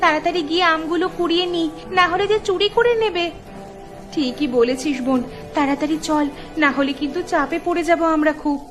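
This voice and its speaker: A woman's voice: high-pitched, fairly quick, close to an everyday voice. A woman voicing an anxious young village sister in a cartoon folktale, with an urgent way of talking. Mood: worried, hurried. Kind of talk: Bengali cartoon dialogue. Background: music.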